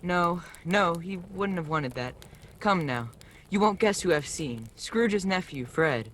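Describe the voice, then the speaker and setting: A man playing a grieving father in a radio play, kindly and halting as he keeps up a brave face. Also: sits high, lively intonation, a bit bright, exaggerated voice. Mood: subdued, kindly. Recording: faintly noisy home video call.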